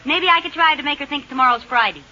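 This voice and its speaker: A scatterbrained American housewife in an old radio comedy. Her voice is high and very sharp, swinging theatrically between demanding and timid. The recording is dull, with some hiss. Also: fast talker. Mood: pleading. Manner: theatrical, exasperated, pleading. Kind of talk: 1940s radio comedy acting.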